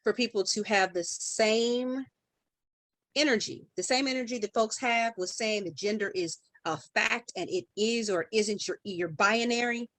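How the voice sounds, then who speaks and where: A woman's voice: fairly high pitch, unhurried, a bit bright, no room sound. A woman speaking as a podcast guest in conversation, emphatic and building her points through repeated phrases.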